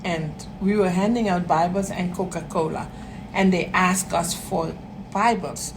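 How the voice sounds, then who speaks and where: A woman's voice: lowish voice, steady tone, a little slow, background noise. A woman from Curaçao whose first language is Dutch, speaking plainly and earnestly as a volunteer in an interview. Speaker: migrant volunteer.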